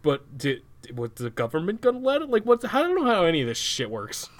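A man co-hosting a podcast in casual chat, joking and swearing in quick banter. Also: a little high, wildly swooping pitch. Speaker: podcast co-host.

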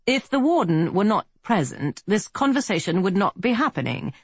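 A woman's voice: medium pitch, big pitch swings, husky, no echo, voice pushed up. A woman voicing a polished, well-to-do British man with a pleasant tenor, speaking formally and evenly. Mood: even, pressing. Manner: measured, formal, slightly rushed. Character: polished upper-class British man, even-toned negotiator.

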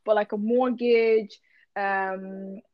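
A woman's voice: mid-range voice, fairly even intonation, very slow, no echo, highs cut off. A young woman student chatting casually and frankly, with plenty of filler words. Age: young adult. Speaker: student co-host. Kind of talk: casual chat.

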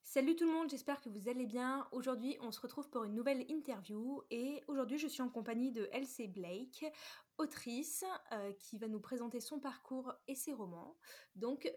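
A woman's voice: sits high, normal speed, a little mellow. A woman hosting a podcast, chatting in a friendly interview style.

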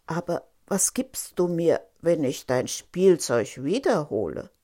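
Woman doing a pushy talking frog guy from a fairy tale, slow n deliberate. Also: low voice, very animated, dark tone, heavily acted. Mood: coaxing, bargaining. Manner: deliberate, insistent. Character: male talking frog, insistent, bargaining storybook creature.

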